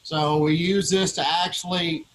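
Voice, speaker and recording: A man with a Southern US accent, a team leader explaining his work to schoolchildren in a relaxed way with many fillers, aboard a ship. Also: on the high side, measured pace. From Louisiana, USA. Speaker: underwater vehicle team leader. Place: operations room on a ship.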